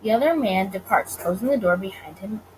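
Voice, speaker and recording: A woman's voice: medium pitch, expressive, a little fast, poor audio. A woman gaming creator chatting casually and reading visual novel narration aloud, recorded with faint noise behind her. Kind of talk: casual let's play with read-aloud narration.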